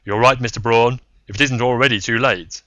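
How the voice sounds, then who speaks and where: A man voicing an impatient, accusing colonel in an audiobook story, loud, demanding and indignant. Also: mid-range voice, fairly even intonation, a little fast, no echo, muffled recording, voice pushed up, close to an everyday voice. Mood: urgent.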